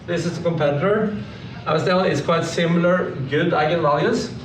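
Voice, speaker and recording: Echoey, distant man giving a technical presentation. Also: a little high. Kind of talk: slide presentation, informal technical commentary. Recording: echoey room, distant mic, clearly noisy.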